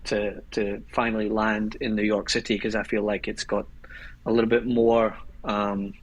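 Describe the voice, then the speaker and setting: A Scottish man in his thirties with a husky, breathy voice talks as a podcast guest. He speaks in a relaxed, meandering way, restarting his sentences as he goes. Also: lowish voice, moderate pace, poor audio. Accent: Scottish, Glasgow. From Scotland. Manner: relaxed, rambling, with restarts and laughs. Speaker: guest filmmaker. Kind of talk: casual podcast chat.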